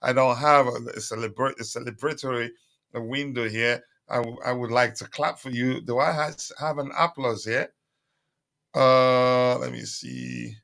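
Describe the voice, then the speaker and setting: A man's voice: mid-range voice, lively intonation, unhurried, fairly bright tone. A man hosting a casual live stream, chatting with viewers and reading their comments, with lots of fillers and his voice a little strained. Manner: chatty, many fillers, repeats calls for likes. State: voice strained, recovering. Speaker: livestream host.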